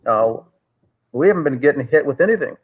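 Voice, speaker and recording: Male guest, call audio, tinny and narrow; steady middle pitch, careful telling with restarts. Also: husky. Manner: measured, halting with restarts. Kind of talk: recounting events in a remote call.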